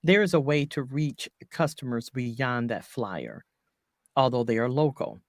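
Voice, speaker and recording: A woman voiceover artist talking through her business in an interview, halting often and correcting herself mid-sentence. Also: very deep voice, animated, dry recording. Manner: halting, many restarts and self-corrections. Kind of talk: conversational business coaching interview.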